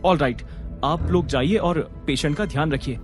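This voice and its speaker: A man's voice: a little high, normal speed, close to an everyday voice. A man acting a firm, formal, authoritative father in his thirties in an audio drama. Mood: brisk, puzzled. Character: commanding businesslike father with a fragile young son. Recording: dramatic music behind the voice. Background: music.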